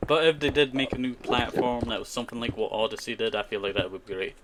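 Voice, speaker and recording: A man's voice: mid-range voice, fairly even intonation, fast talker, noisy background. A man streaming himself playing a survival game, chatting casually with viewers in a rambling way full of "you know" and "I tell you". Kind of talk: casual live-stream chat while playing, answering chat. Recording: keyboard clicks and typing behind the voice.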